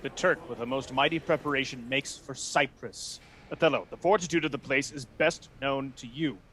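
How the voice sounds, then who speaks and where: A man playing a brisk, authoritative duke in a Shakespearean radio play, formal and commanding. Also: medium pitch, a bit bright, a little hiss or hum, natural voice. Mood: courteous.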